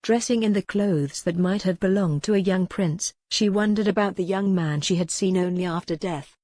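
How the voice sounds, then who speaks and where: A woman narrates scripted historical fiction with measured, dramatic delivery. Her voice sits slightly low, and the recording is close and dry. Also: darkish tone, no room sound. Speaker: audiobook narrator.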